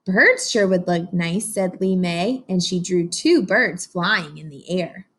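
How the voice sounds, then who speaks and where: A woman reading a picture book aloud to young children as a teacher does, pausing to explain and ask simple, encouraging questions. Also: lowish voice, a bit bright, husky.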